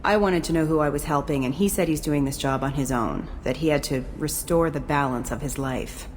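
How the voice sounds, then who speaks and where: An actress playing a jaded, tough ex-security chief in a video game, blunt and cynical. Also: female voice, low-pitched, brisk, darkish tone, a little hiss or hum, lightly acted. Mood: blunt, hard. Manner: blunt, cynical, matter-of-fact. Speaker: game character. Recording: game soundtrack music behind.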